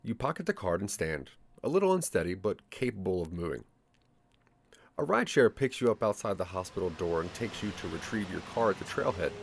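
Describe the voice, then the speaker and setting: Low-voiced, animated male story narrator. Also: brisk, a little hiss or hum. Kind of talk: scripted second-person story narration.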